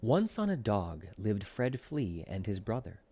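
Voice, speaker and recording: A man narrating a rhyming children's storybook in an expressive, deliberate storytelling way. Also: fairly low pitch, breathy edge. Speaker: audiobook reader.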